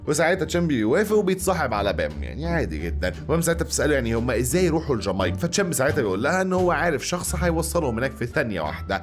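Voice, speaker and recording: An Egyptian man tells a comedic movie recap at a breakneck clip. His delivery is colloquial and chatty, full of joking, mock-exasperated asides, and music plays clearly behind him. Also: medium pitch. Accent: Egyptian Arabic. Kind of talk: casual comedic film recap narration. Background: background music.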